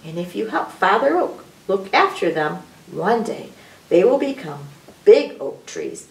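Woman as a slow, soothing storybook animal mother. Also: medium pitch, big pitch swings, vocal fry, voice pushed down. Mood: gentle, soothing. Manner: soothing, explanatory. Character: gentle, patient mother animal soothing her child. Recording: roomy echo, distant microphone.

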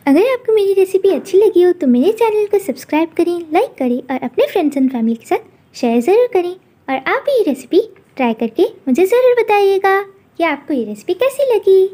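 Cooking host, woman, high mellow voice, calm step-by-step recipe voice-over. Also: moderate pace. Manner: calm instructional narration.

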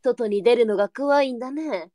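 A woman's voice: a little high, normal speed, dark tone, no room sound, close to an everyday voice. A woman playing a worldly, firm but kindly brothel madam, composed and matter-of-fact, in a Japanese period audio drama. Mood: composed, advising.

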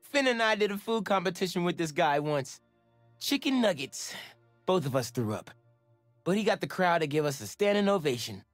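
An actor playing a sincere, enthusiastic teenage superhero in a video game, speaking casually. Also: male voice, sits high, sing-song, moderate pace, no echo, faint music behind. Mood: casual, amused. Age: young adult. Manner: casual, earnest.

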